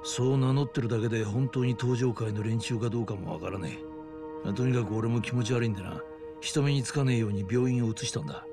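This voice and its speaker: A male actor playing a seasoned older detective in a video game, gruff, informal and pragmatic, with a gravelly voice. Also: medium pitch, fast, music in the background, lightly acted. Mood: serious. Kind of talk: dramatic video game acting.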